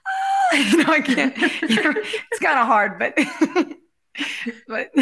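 A woman with a raspy voice chatting casually as an interview guest, in an effusive, giggly, rambling way. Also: sits high, wildly swooping pitch, drawn-out words, a bit bright. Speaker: guest, realtor and musician.

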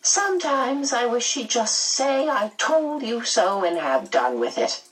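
A woman voicing a kindly, brisk otherworldly lady who soothes and fusses in a genteel storybook manner. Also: on the high side, big pitch swings, distant mic, pitched above the performer's own voice, poor audio. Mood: wry.

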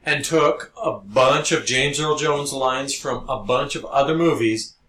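A man's voice: medium pitch, unhurried, fairly bright tone. A man co-hosting a podcast in casual conversation. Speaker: podcast co-host. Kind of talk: casual chat. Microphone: podcast recording with headphones. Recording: roomy echo, noticeable background noise.

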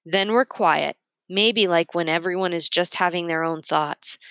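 A woman narrating a storybook aloud in an expressive read-aloud style. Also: on the low side, unhurried, no room sound, clean studio sound.

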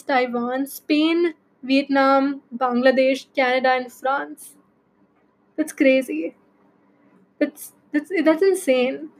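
Young woman with an Indian accent, high voice, podcast host, chatty and rambling, full of fillers. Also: poor audio. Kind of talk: casual solo podcast talk.

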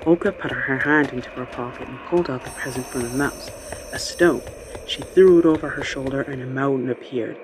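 A man's voice: mid-range voice, a little fast, background noise, lightly acted. A man narrating a children's radio play as a scripted storybook tale.

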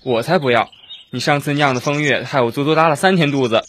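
Man playing a cheeky young tavern lad, mid-pitched. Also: quick pace, fairly bright tone, a little hiss or hum, lightly acted. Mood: grumbling. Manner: theatrical, wheedling. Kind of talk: Chinese audio drama acting.

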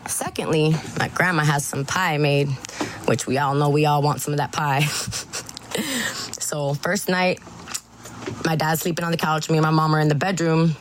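A woman's voice: deep voice, slight background noise. A woman with a Texan Chicano English accent, telling a story in a voicemail, giggling and swearing freely. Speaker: voicemail caller.

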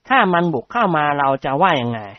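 A reader voicing a brash, bold young man with a gruff way of talking. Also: medium pitch, sing-song, quick pace, dry recording, dull audio, lightly acted.

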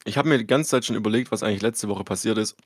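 A man's voice: lowish voice, racing, no room sound, clean studio sound. A man co-hosting a podcast in casual chat, rambling through everyday stories and arguing small details. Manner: chatty, rambling storyteller, argues details. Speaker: podcast co-host.

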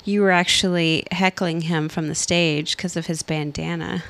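Woman, podcast co-host, low voice, gravelly, teasing, giggly. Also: fairly even intonation, normal speed, fairly bright tone. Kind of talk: casual chat.

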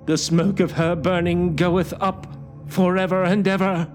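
A man voicing a frantic, half-mad male curate who wails and shouts in a high voice. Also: animated, a little slow. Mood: raving. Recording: music playing behind.

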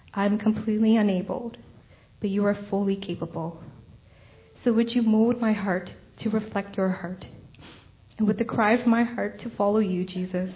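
A young woman reading a personal testimony and prayer at a church service in an earnest, measured way. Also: mid-range voice, lo-fi sound. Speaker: church member giving testimony.

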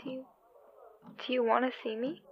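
A woman's voice: high-pitched, steady tone, moderate pace, a little hiss or hum. A woman acting as an earnest, exasperated younger sister on a phone call in an audio drama, pleading in manner. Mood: tentative, wistful. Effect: phone call filter. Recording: dull, band-limited phone call sound.

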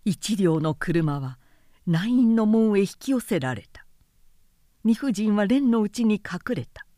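A woman narrating a literary work as a scripted reading, in a measured, expressive storytelling manner. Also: medium pitch, no echo, clean studio sound.

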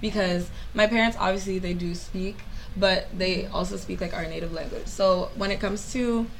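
A woman's voice: medium pitch, fairly even intonation, normal speed, a little hiss or hum, poor audio. A young woman from a small village in Ghana, a podcast guest thinking aloud in casual chat. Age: young adult.

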